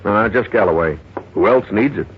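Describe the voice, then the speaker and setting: A folksy American police sergeant played by a man, low-voiced yet very lively in pitch, gossiping with sly hesitations. It is 1950s radio drama on a dull old recording. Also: a little hiss or hum, lightly acted. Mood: explaining. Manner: hesitant, insinuating, drawn-out gossip.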